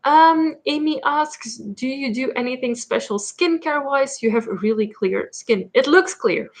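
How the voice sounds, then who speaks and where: A woman's voice: sits high, unhurried. A young woman from Belgium with a Flemish Dutch accent, chatting casually while answering viewer questions on her livestream, with many ums and likes. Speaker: livestream host. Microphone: laptop mic.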